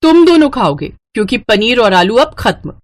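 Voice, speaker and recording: A woman voicing an exasperated but dutiful young daughter-in-law in an animated story, with an emphatic, complaining manner. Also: on the high side, moderate pace. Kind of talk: animated moral-story cartoon voice acting.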